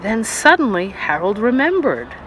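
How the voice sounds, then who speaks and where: An expressive storyteller reads a children's picture book aloud, with a lively rise and fall in a mid-pitched voice. The phrasing is made for young listeners, addressing them directly. It is recorded outdoors, with faint ambient noise behind the voice. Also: normal speed, poor audio. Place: outdoors on a stone wall by grass.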